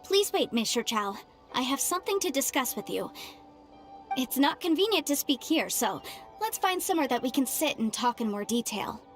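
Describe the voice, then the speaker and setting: A shrewd young female legal adviser with a high voice and lively, swooping delivery. She is articulate, formal and sure of herself, like a game heroine. Music plays loudly behind her. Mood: confident, explanatory. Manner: brisk, articulate, formal phrasing. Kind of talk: video game voice acting. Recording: game dialogue under loud background music.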